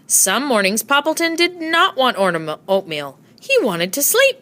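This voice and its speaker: A woman narrating a children's storybook aloud with expressive storytelling delivery. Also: high voice, unhurried. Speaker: storybook reader.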